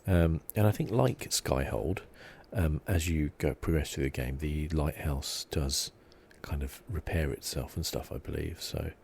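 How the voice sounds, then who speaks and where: A man giving soft-spoken ASMR commentary as he plays a game, hushed, relaxed and meandering, close to the mic. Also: extremely low pitch, unhurried, vocal fry, hardly any pauses, slight background noise. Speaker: ASMR gaming host.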